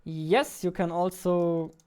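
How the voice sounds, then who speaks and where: A man's voice: high voice, steady tone, takes it slowly, darkish tone, clean tone. A man in his twenties giving casual live commentary while coding, speaking into a close studio mic indoors. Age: 20s. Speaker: indie game developer, stream host. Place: small indoor room at a desk. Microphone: close studio mic on boom arm.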